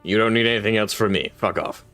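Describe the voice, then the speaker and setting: A man's voice: fairly low pitch, fast, fairly bright tone, soft background music, natural voice. A man putting on a curt, dismissive warrior god. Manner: curt, brushes off worshippers.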